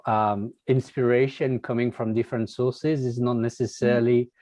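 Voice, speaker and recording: A man's voice: medium pitch, level tone, normal speed, a little mellow, dry recording. A man interviewing an artist, asking polite questions with frequent hesitations and fillers. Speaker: interviewer, magazine editor.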